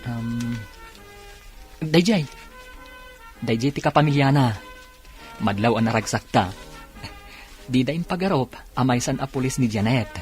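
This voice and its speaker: A man's voice: mid-range voice, unhurried, pauses often, faint music behind, lightly acted. An actor playing a humble young man who has just become a police officer, speaking cheerfully and gratefully. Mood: relaxed.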